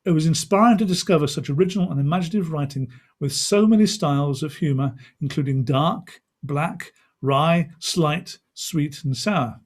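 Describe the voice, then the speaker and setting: A man with a fairly high voice hosts an informal online event. He talks in a chatty, rambling way, as on a video call. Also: moderate pace. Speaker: event host.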